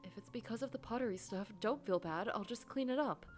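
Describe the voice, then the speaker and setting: A woman voicing a gentle, apologetic divorced man in a story game, concerned and prone to stammering. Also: on the high side, brisk, soft background music, lightly acted. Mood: worried.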